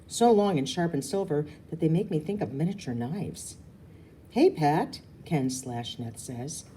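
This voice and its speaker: A woman's voice: low-pitched, dark tone, slight background noise. A woman reading a children's novel aloud informally, now and then stumbling and correcting herself. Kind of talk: storybook read-aloud, informal.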